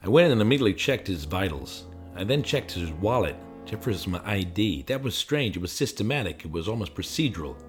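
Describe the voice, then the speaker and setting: Low-voiced, suspicious noir amnesiac played by a man. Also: very animated, fairly quick, hardly any pauses, faint music behind. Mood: tense, bewildered. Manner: terse, agitated, muttering to himself. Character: confused amnesiac man, suspicious hard-boiled noir narrator. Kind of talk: improvised film noir audio drama, narration and dialogue.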